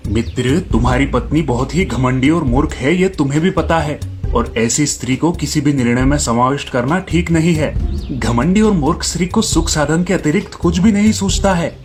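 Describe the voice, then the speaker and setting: A man voicing a frank, opinionated village barber, a familiar friend, in an animated storybook tale. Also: on the high side, moderate pace, soft background music, natural voice. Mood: advising.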